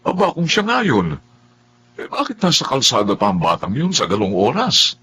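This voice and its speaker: A male actor playing a concerned, prayerful family elder in a Filipino drama, in an animated conversational manner. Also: mid-range voice, normal speed, heavily acted. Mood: puzzled concern. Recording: faint hum and noise.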